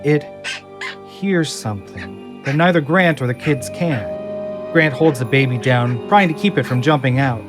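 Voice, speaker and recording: A man's voice: medium pitch, animated, measured pace. A man delivering dramatic scripted narration as the narrator of an audio drama. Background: music and sound effects.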